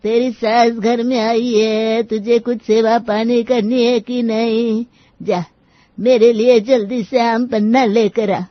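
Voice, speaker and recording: A woman playing a stern, sharp-tongued old village grandmother-in-law who scolds and commands in a cartoon story. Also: a little high, level tone, slow, no room sound, lo-fi sound. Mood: stern, demanding. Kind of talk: acted dialogue in a cartoon moral story.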